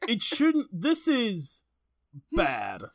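A woman co-hosting a podcast in casual banter, exclamatory, incredulous and quick to swear. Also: a little high, huge pitch swings. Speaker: podcast co-host.

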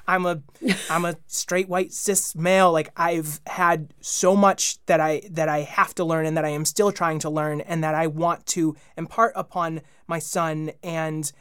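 Man, young adult, podcast guest, slightly high voice, earnest, stammers, dry sound. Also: steady tone, measured pace, a bit bright, clear, resonant tone, no echo. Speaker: podcast guest, children's podcast host. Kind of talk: casual interview chat.

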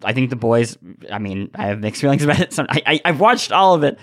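A man's voice: mid-range voice, very animated, fast talker. Man chatting as a podcast host. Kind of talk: casual podcast discussion.

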